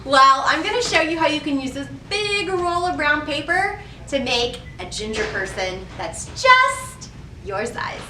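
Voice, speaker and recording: A woman leads a storytime for young children in a high, very lively voice that swoops up on questions. She takes her time, explaining and reading in a sing-song way. The sound is roomy and a little distant from the microphone. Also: unhurried. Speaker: children's library storytime host. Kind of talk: children's storytime instruction and picture-book reading. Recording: roomy, distant mic, faint background noise.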